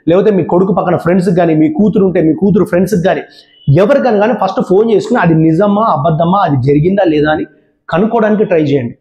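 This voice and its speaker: A man's voice: high-pitched, fast talker, warm tone. A man presenting a public awareness talk, giving earnest, repeated warnings straight to viewers. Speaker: channel presenter. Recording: roomy echo.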